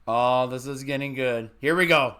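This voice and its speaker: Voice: medium pitch, normal speed, fairly bright tone. A reaction host making casual, off-the-cuff commentary.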